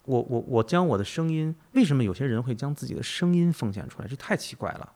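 A man's voice: medium pitch, lively intonation, fast talker, darkish tone. A man hosting a podcast, musing philosophically in conversation, emphatic, with repetition and rhetorical questions. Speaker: podcast host.

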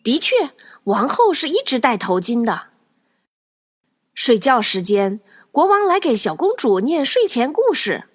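A woman narrating a children's audio story in an expressive, animated storytelling style. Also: sits high, a little slow, husky, no room sound, studio quality.

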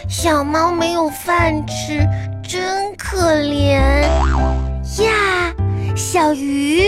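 A woman's voice: very high voice, very slow, exaggerated voice. A woman playing a cheeky, innocent little schoolgirl in a comedic radio drama, with childish, naive questioning. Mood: cooing, pitying. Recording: music playing behind.

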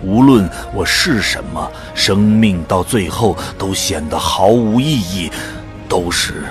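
Man acting a brooding, deep-voiced storyteller. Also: expressive. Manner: measured, solemn, reflective. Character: brooding male volunteer telling his own story. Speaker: narrator and lead actor. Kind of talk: scripted first-person drama narration. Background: music.

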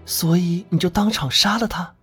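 A woman's voice: lowish voice, normal speed, fairly bright tone, faint music behind, lightly acted. A woman playing a frightened young captive in an audiobook story, timid yet probing with her questions.

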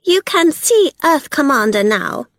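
A cold woman who gloats and mocks, her voice pitched high above a storyteller's usual tone, as the reader puts her on. She sounds pleased with herself and cutting. The sound is dry and close. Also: big pitch swings, measured pace, a bit bright, no echo, exaggerated voice. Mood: mocking. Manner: mocking, raised voice.

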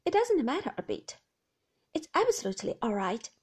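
Woman playing a shy young wife, hurried and reassuring, breathy, lively pitch. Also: full character voice.

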